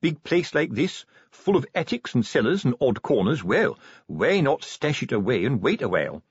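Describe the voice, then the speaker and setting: A man's voice: mid-range voice, very animated, moderate pace, slightly rough, dry recording, voice pushed up. Guy doing a pompous thick copper, middle aged, lecturing away, voice goes up and down loads, bit muffled. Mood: smug lecturing. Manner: pompous, explanatory, tag questions. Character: self-important, slow-witted middle-aged male police sergeant, lecturing.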